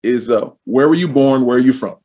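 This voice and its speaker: Husky-voiced hype man hosting a show. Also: medium pitch, a little fast. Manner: repeats his phrases for emphasis, energetic. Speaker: podcast host. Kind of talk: hyped-up show introduction and casual interview.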